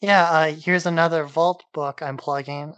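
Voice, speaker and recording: A man's voice: on the high side, fairly even intonation, moderate pace, a bit bright, dry recording, dull audio. A man co-hosting a podcast, talking casually and conversationally. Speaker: podcast co-host.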